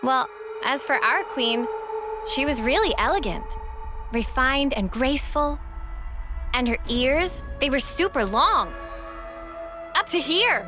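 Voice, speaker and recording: A lively young female soldier in a video game. Her voice is high, informal and expressive, with loud music behind it. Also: takes it slowly, long pauses. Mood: curious, chatty.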